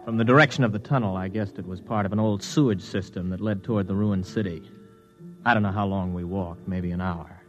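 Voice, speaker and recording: A man's voice: sits low, soft background music, natural voice. Man as a brooding radio narrator, dull vintage sound. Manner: measured, brooding. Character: weary hardboiled man narrating his own story. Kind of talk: old-time radio drama narration.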